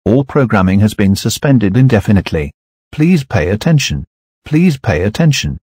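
Low, husky synthetic male announcer. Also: sing-song, normal speed, darkish tone, no room sound, studio quality. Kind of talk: scripted emergency broadcast announcement.

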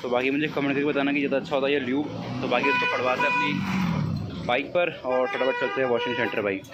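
Talk to the phone like a young man showing friends his bike service, quick, loose and chatty. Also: mid-range voice, steady tone, loud music behind the voice. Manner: chatty, calls viewers "bhai" constantly. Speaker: motovlogger. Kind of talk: casual vlog narration to camera. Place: bike service shop, outdoors. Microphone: phone camera.

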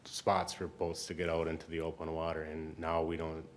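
Very deep-voiced man explaining informally. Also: completely flat delivery, normal speed, slight background noise. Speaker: city staff member. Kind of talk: explaining at a council meeting.

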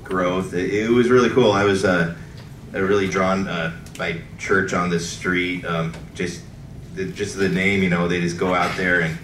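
A man on a church stage talks about his time in a program, halting and full of fillers. His voice sits low. The recording is distant and echoey, with noise behind. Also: little pitch movement, fairly bright tone. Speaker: program graduate. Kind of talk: graduation speech. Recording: echoey hall from far off, noise.

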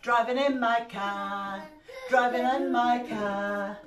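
An older woman from New Zealand with a New Zealand accent, leading a children's rhyme and song session in an animated, cheerful way. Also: a little high, takes it slowly, crowd noise. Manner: cheerful, animated, sings and gasps to engage toddlers. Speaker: library rhymetime presenter. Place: small carpeted room indoors. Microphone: far camera. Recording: roomy sound from a far-off camera.

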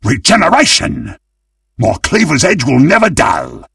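A man voicing a greedy, gleeful, monstrous undead butcher in a video game, with a gravelly, husky British-accented voice and a menacing, theatrical manner. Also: mid-range voice, huge pitch swings, unhurried, a bit bright, dry recording, studio quality, distant mic, exaggerated voice. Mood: excited, triumphant. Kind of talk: video game character voice lines.

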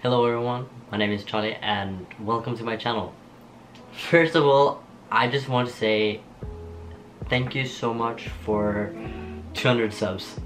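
A young man from Norway with a Norwegian accent, chatting casually to camera in a small home studio, laughing often and pausing with ums. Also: medium pitch, rough recording. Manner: relaxed, giggly, lots of ums and restarts. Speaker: vlogger. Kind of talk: casual get-to-know-me chat. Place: small bedroom studio with shelves and posters. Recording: soft guitar music underneath.

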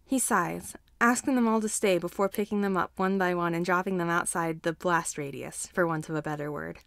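A woman's voice: lowish voice, brisk, vocal fry, dry recording. A woman narrating a scripted story as a fan fiction reader.

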